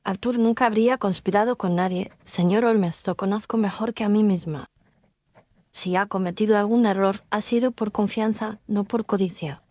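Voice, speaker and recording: A woman's voice: mid-range voice, moderate pace, darkish tone, no echo, clean studio sound, close to an everyday voice. A woman playing a loyal, devoted young Victorian fiancée in an audio story, speaking earnestly and formally. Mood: protective, earnest. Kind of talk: scripted audio story dialogue.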